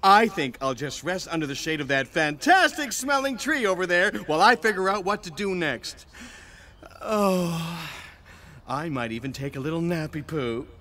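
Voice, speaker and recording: A man playing an excitable alien disguised as a little dog, theatrical and exclamatory, in a sci-fi audio drama. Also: fairly high pitch, moderate pace. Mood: indignant, weary. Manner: theatrical, exclamatory, arguing with himself.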